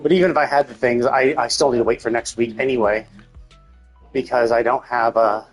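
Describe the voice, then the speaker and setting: A male game streamer with a husky, slightly rough voice and an average pitch. He talks casually and meanders as he thinks out loud, with music playing behind him. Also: steady tone, a little fast, low-quality recording. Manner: rambling, thinking aloud. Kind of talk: casual livestream gameplay chat.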